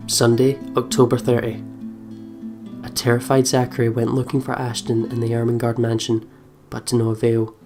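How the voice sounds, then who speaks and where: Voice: mid-range voice, monotone, normal speed. A giggly, chatty let's play host, talking casually and reading game text aloud. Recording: music behind the voice. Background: plucked guitar game music.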